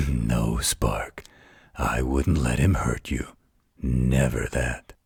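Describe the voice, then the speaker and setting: An extremely low-voiced, gentle werewolf, acted by a man, tells a bedtime story at an unhurried pace. The voice is very raspy and soothing, protective and tender, as in an intimate roleplay audio. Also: no room sound, studio quality, full character voice. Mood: tender reassurance. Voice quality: gravelly, husky.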